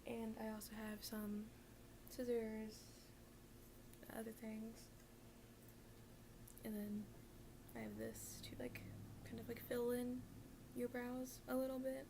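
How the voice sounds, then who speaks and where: A woman whispering in a breathy, hushed, halting way, doing an ASMR roleplay as a gloomy, deadpan emo best friend. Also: a little high, noisy background. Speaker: ASMR video creator.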